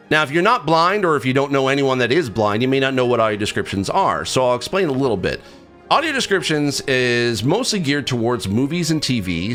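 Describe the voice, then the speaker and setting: A man hosting a casual vlog, talking in an excitable, emphatic way and often interrupting himself. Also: medium pitch. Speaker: video game accessibility reviewer and vlog host. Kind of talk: casual personal vlog talk to camera.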